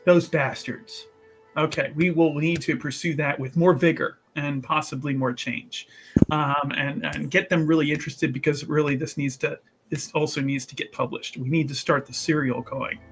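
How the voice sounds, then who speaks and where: A man's voice: a little high, fairly even intonation, normal speed, over music, close to an everyday voice. A man playing a self-important, zealous scholar in a tabletop game, speaking emphatically and repeating himself as he rallies a devoted student. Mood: urgent, businesslike.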